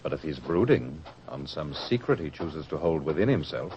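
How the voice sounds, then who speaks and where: A man's voice: very deep voice, very animated, normal speed, slight background noise. A man playing a proud, argumentative disciple in a radio drama, speaking in a questioning, oratorical way. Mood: earnest questioning. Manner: questioning, self-assured, oratorical. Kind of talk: old-fashioned radio drama acting. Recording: dull lo-fi radio drama recording.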